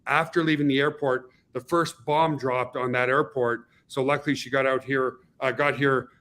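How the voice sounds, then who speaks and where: A man's voice: mid-range voice, steady tone, normal speed, fairly bright tone. A man hosting a weekly webinar on a video call, upbeat and rambling, often restarting his sentences. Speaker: host.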